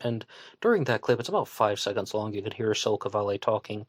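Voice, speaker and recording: A man's voice: on the low side, expressive, brisk, dry recording, studio quality. A man from West Virginia with a faint West Virginian accent, hosting a true crime podcast and talking it through casually, hedging and restarting as he thinks aloud. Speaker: podcast host.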